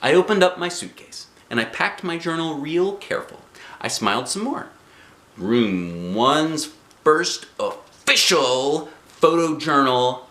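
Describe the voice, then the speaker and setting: An excitable, boastful little first-grade girl , voiced by a man reading a storybook, narrates her journal with earnest drama. The delivery swoops up and down with drawn-out emphasis, in a roomy space. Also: a little high, voice pushed up, full character voice. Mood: happy. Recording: roomy home recording.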